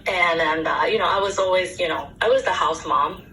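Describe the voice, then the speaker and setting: A woman in her forties from Japan, with a Japanese accent, answering interview questions as a guest real estate agent, halting and searching for words. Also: lowish voice, pushed and bright. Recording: distant echoey remote line with faint noise.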